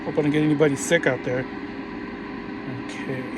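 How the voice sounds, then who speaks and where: A man casually narrating a hands-on radio repair tutorial while he works, relaxed and restarting his phrases often. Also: on the high side, fairly quick. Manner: relaxed, talks while working, frequent restarts. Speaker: vintage radio repair hobbyist. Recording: audible background noise.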